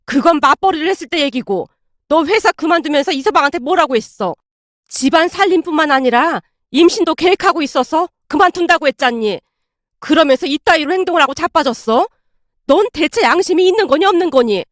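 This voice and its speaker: A woman's voice: high voice, animated, brisk, no room sound, clean studio sound. A woman voicing an older mother-in-law in a narrated family story, blunt, indignant and fiercely protective. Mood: furious scolding.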